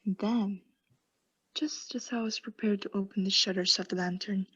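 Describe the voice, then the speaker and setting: A woman playing an obsessed mad murderer in a theatrical radio play, confessing intensely in hushed whispers and frantic outbursts. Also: mid-range voice, fairly even intonation, moderate pace, husky, highs cut off. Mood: furtive, tense.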